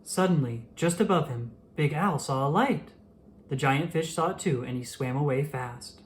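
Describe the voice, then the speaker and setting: A storyteller reading a children's picture book aloud in an expressive, animated way. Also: medium pitch, normal speed, slight background noise. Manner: animated, acts out the dialogue.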